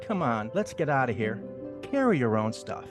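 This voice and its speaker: A man playing a cocky, gruff, streetwise field mouse in an audio drama, teasing and brusque with a drawl. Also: mid-range voice, very animated, normal speed, music in the background, natural voice. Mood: bossy, teasing.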